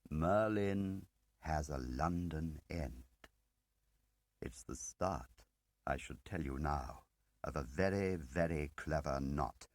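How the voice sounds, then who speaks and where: A man reading the part of an ageing spymaster in an audiobook, deliberate and probing, pausing between phrases and pressing with questions. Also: a bass voice, no echo. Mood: measured, explaining. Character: ageing male spymaster, measured, probing, quietly relentless. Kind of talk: dramatic audiobook character reading.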